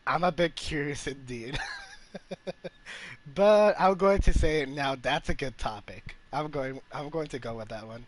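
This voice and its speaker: A man giving casual gameplay commentary as a streamer, halting as he searches for words. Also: a little high. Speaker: game streamer. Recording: game music behind.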